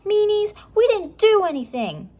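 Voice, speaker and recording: A woman voicing a bold, excitable young girl adventurer in a storybook, exclaiming and bossy. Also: very high voice, unhurried, pitched above the performer's own voice, heavily acted. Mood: indignant.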